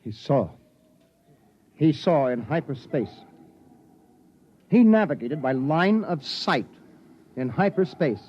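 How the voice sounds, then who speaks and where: A man's voice: on the high side, lively intonation, slow pace, pauses often, mellow, slightly rough, heavily acted. An actor playing a weary, authoritative male starship captain, clipped and brooding, in 1950s radio drama style. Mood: awed. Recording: noisy, muffled vintage radio recording.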